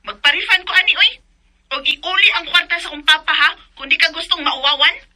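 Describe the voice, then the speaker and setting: A woman's voice: very high voice, fairly quick, sharp tone, exaggerated voice. An actress playing an angry young woman customer who scolds and threatens a delivery rider over a phone call. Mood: furious.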